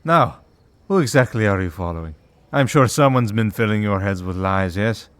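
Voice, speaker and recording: Man acting calm, low-voiced vampire priest. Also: clear, resonant tone, no room sound, close to an everyday voice. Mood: wry, confident. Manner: dry, unhurried, politely sardonic. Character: centuries-old male vampire priest, calm, wry, merciful hunter. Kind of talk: scripted audio roleplay, ASMR drama.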